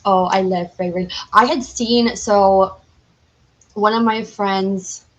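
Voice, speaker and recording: A woman's voice: medium pitch, fairly even intonation, slow, poor audio. A woman speaking as a podcast guest in an informal, enthusiastic, chatty way. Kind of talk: casual chat.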